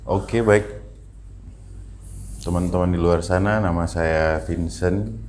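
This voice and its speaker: Male, 29, deep register, informal interview guest who repeats phrases as he explains; slightly noisy room. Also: fairly even intonation, slow pace, darkish tone. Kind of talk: casual personal account.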